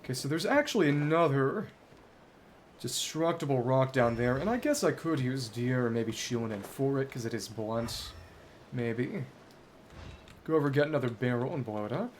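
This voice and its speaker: A man's voice: medium pitch, animated, normal speed, slight background noise. A man livestreaming a game, thinking aloud in bookish wording as he chats casually with viewers and wanders between topics. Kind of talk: casual gameplay commentary, chatting with viewers.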